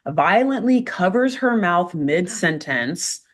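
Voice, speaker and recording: A young woman co-hosting a casual podcast, retelling a cartoon episode in an animated, joking way. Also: on the low side, slow pace. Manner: animated storyteller, fond of joking asides. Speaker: podcast co-host recapping a cartoon. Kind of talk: casual chat.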